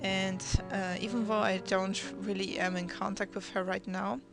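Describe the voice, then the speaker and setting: Young woman, Russian native, halting voice-over narration, mid pitch, music bed behind. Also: little pitch movement, vocal fry. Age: teens. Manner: hesitant, many restarts and fillers. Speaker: channel host. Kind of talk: draw my life voice-over narration.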